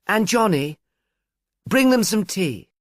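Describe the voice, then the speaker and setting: A man's voice: high-pitched, sing-song, slow pace, no echo, studio quality, voice pushed up, heavily acted. Man voicing a drawling, poised young woman. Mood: brisk, welcoming. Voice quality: drawling, slight lisp. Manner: civil, self-possessed. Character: poised young woman in her twenties, mildly sardonic.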